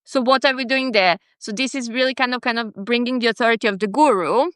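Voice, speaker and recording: A woman's voice: on the high side, quick pace, fairly bright tone, smooth, no echo, studio quality. A woman hosting a conversational interview, hesitant and hedging, with many fillers. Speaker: podcast host.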